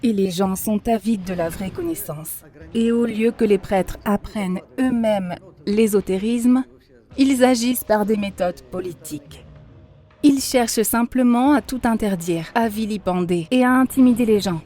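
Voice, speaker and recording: A woman playing a grave, critical spiritual mentor, speaking in a measured, didactic way in a cartoon. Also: medium pitch, warm tone, natural voice. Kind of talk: scripted cartoon dialogue.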